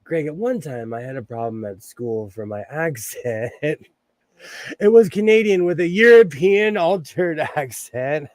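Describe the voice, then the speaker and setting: A middle-aged man from Southern California talks loosely on a livestream, chuckling and wandering between stories. His voice sits a little high for a man, with a Southern Californian accent. The sound is dry and close, with almost no room around it. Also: animated, unhurried, dry recording. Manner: rambling, chuckles often, greets viewers by name. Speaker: livestream host. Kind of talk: casual chat while sewing, reading chat.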